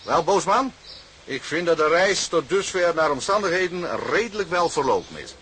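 An actor playing an older, thrifty sea captain in a radio drama, speaking in a measured, paternal way with hums and repeated murmurs. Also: male voice, on the high side, lively intonation, a bit bright, a little hiss or hum. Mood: hospitable, frugal.

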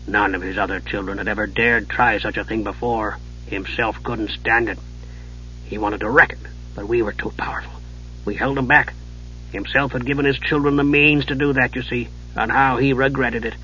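A man voicing a gruff, weary old male dwarf, speaking low and hushed, in a dull lo-fi recording. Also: fairly even intonation, moderate pace, slight background noise, close to an everyday voice. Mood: weary, brooding.

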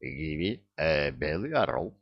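A wheedling, rambling huckster spirit from a comic fantasy story, with a thick Georgian accent. His broken grammar rides on a very lively, swinging pitch. The recording is a bit dull and lo-fi. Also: slow, exaggerated voice. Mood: plaintive. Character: hook-nosed Caucasian-style huckster spirit in Native costume, whining. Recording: dull lo-fi audio.

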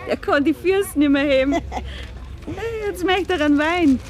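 Higher-pitched Bavarian woman gossiping. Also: moderate pace, music in the background. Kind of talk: casual gossipy chat.

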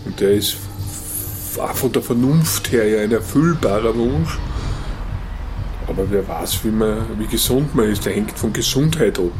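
A man's voice: lowish voice, animated, unhurried. A man speaking spontaneously and thoughtfully as an interviewee. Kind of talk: spontaneous reflective talk. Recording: clear ambient background noise.

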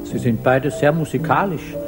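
An actor playing a devoted, awkward provincial suitor in a radio drama, courteous, earnest and tentative. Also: male voice, medium pitch, moderate pace, music in the background, lightly acted.

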